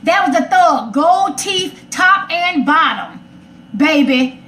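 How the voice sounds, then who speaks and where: Very high-pitched, emphatic American woman. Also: animated, takes it slowly, a bit bright. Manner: emphatic, repeats phrases for stress. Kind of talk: animated casual chat. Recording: roomy echo, faint background noise.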